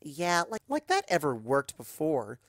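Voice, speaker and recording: A sulky, flippant young girl pony acted by a man, pitched higher than his own voice. The tone is whiny and offhand, in a dry storybook reading. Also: wildly swooping pitch, a little slow, dry recording, exaggerated voice. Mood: flippant, stubborn.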